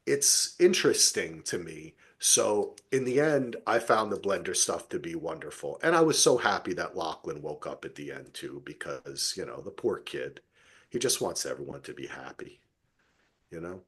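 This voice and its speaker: Man, middle-aged, slightly rough husky voice, effusive, rambling, casual podcast host. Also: low voice, big pitch swings, moderate pace, a bit bright. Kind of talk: casual TV recap podcast chat.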